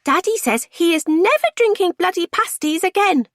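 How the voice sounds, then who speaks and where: Small boy played by a woman, very high and loud, blunt and gleeful, storybook reading. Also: expressive, moderate pace, no room sound, clean studio sound, full character voice. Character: blunt, gleeful young boy repeating grown-ups' talk.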